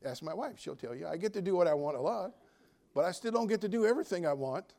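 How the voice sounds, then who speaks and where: A man preaching a sermon in a folksy, emphatic manner, swinging between loud exhortation and quieter joking asides. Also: high-pitched, animated, quick pace, creaky. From United States. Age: 70s. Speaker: Baptist preacher.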